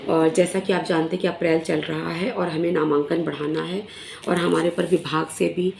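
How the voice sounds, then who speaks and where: Hoarse middle-aged teacher lady, lowish gravelly voice, lots of little pauses, echoey room on a phone. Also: fairly even intonation, darkish tone. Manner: earnest, frequent filler pauses. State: hoarse, recovering sore throat. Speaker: primary school teacher. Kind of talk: casual explanatory talk to fellow teachers. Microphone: phone camera in selfie mode.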